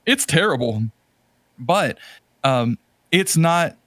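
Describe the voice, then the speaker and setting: A man's voice: fairly high pitch, lively intonation, slow, fairly bright tone, no room sound. Rambling man in dry, close-sounding podcast chat. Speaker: podcast host. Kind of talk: casual chat about retro games and movies.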